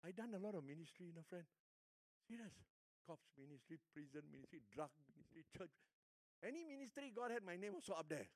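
An older Singaporean pastor delivers a sermon, sliding from hushed, intimate asides to loud, emphatic exhortations. His voice sits a touch high for a man. The sound is close and dry, with almost no room around it. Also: very animated, quick pace, dry recording. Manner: storytelling, swings between hushed and emphatic.

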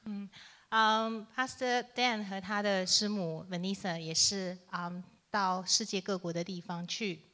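A middle-aged woman interpreting a sermon live, halting and piecing it together in short phrases. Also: medium pitch, steady tone, pushed and bright.

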